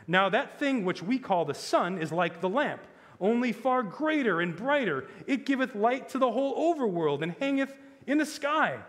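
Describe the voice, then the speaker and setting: A man's voice: fairly high pitch, sing-song, brisk, long reverb tail, voice pushed up. A man playing an earnest, courtly prince in a children's fantasy audiobook, speaking with formal, archaic politeness and emphasis. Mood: coldly polite.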